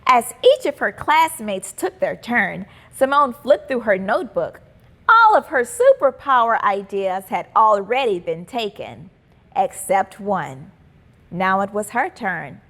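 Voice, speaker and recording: A woman reading a children's storybook aloud as an expressive, deliberate narrator. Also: mid-range voice. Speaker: children's book reader and host.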